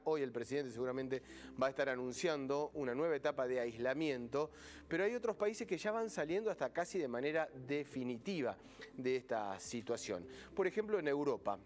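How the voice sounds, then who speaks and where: An Argentine man hosting a news interview, his voice pitched a little high for a man. He talks in a chatty way and keeps the guest going with short "ujú" sounds. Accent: Argentine Spanish. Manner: chatty, many "ujú" backchannels. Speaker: news host. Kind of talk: broadcast interview.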